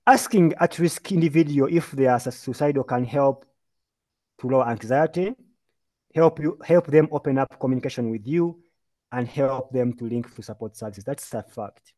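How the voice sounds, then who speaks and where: A man's voice: medium pitch, moderate pace, a little mellow. A man from Uganda giving an earnest, emphatic talk as a mental health speaker in a webinar presentation, heard over a video call. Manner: earnest, emphatic, lecturing with lists and repeated phrases.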